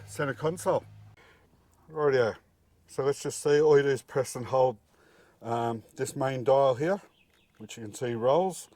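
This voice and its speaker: A man's voice: mid-range voice, no room sound. A middle-aged man walks through a job step by step in a casual, plain-spoken, practical way. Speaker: DIY presenter. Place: outdoors at a car engine bay and inside the vehicle cab.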